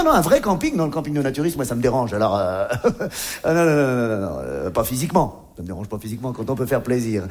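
A man performing a comic monologue, conversational and mock-earnest, often interrupting himself as he tells his story. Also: fairly high pitch. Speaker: stand-up comedian. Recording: clear background noise.

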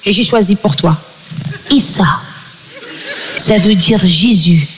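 A woman's voice: medium pitch, slow pace. A woman from Belgium doing stand-up on stage, firing back sassy, laughing retorts. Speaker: stand-up comedian. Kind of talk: stand-up comedy banter on stage. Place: live show before a loud audience. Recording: live stage mic over a loud crowd.

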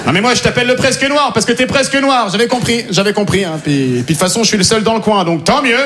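A man's voice: sits high, quick pace, a crowd in the background, lightly acted. A male comedian voicing a blunt, crude village neighbour in a stand-up bit, in a noisy live room. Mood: blunt, chatty.

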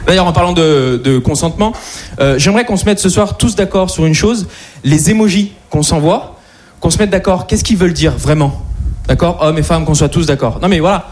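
A man doing a stand-up routine, animated and talking straight to the audience, on stage at a live show. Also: fairly high pitch, fairly quick. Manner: animated, addresses audience directly. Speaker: stand-up comedian. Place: comedy stage before audience. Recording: live show with loud crowd and noise.

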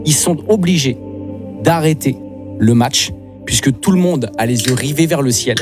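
A male radio co-host with a mid-range voice builds suspense as he tells his story. The background music is clearly audible under his voice throughout. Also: medium pitch, lively intonation, moderate pace.

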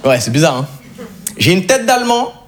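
A young man from Switzerland telling self-mocking stories as an animated, conversational stand-up comedian on a live stage. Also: high-pitched, normal speed. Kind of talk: stand-up storytelling to a live audience.

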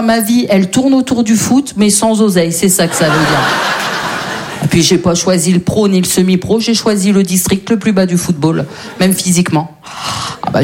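A woman's voice: medium pitch, noisy background. A woman performing a stand-up routine on stage, brash, slangy and self-mocking. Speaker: stand-up comedian. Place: comedy stage with audience.

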